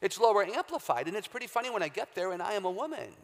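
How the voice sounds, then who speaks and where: A woman with a very low, deep voice giving an emphatic, humorous faith talk as a guest speaker, quizzing a live audience in a large hall. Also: big pitch swings, racing. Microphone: handheld or stage microphone. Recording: long hall reverb, live stage talk.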